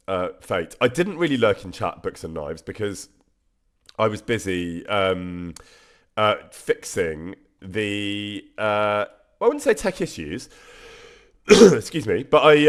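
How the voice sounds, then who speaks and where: A man with a bunged-up nose, chatting casually on a live stream, self-deprecating and full of ums. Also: on the low side. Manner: chatty, self-deprecating, thanks viewers warmly with frequent ums. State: congested, stuffy nose.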